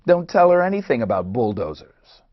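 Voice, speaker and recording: A man's voice: mid-range voice, sing-song, normal speed. An actor in a play reading, playing a firm, wary man in an Israeli family, on a dull recording. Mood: terse, wary.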